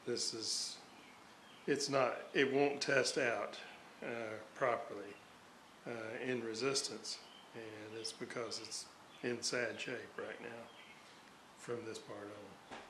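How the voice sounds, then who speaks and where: Slow-talking man in an echoey room. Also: mid-range voice, steady tone, a bit bright. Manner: halting, thinking aloud. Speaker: hobbyist radio restorer narrating his repair. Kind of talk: unscripted workbench walkthrough. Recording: roomy echo, background noise, uneven level.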